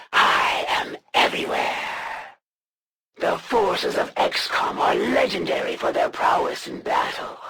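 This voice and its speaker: Casting: proud alien warrior villainess for a video game; slow, measured, imperious delivery, gravelly edge, cold menace. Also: female voice, lowish voice, background noise, heavily acted. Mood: solemn menace. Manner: formal, imperious, menacing, deliberate pauses.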